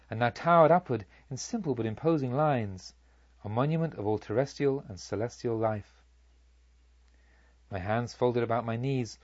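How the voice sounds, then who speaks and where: A man narrating a literary audiobook in a solemn, measured and deliberate manner. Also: fairly high pitch, darkish tone, no echo, highs cut off.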